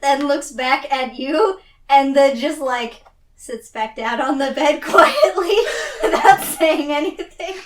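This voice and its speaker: Woman, high voice, unhurried, giggly, tabletop roleplay chat, roomy distant recording. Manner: giggly, often breaking into laughter. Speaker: tabletop game player.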